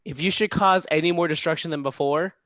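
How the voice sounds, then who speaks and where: Commanding father god male actor: grave, deliberate, fairly high male voice, stage drama. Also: fairly bright tone, no room sound, clean studio sound, lightly acted. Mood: stern warning. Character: stern, commanding father god passing judgment. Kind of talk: live staged play reading.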